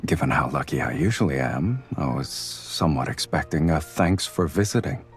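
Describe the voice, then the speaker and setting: An actor playing a calm man on a date in a romance game, with a dry, unhurried, teasing way of talking. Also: extremely low pitch, faint music behind. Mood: calm, wry. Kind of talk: video game romance acting.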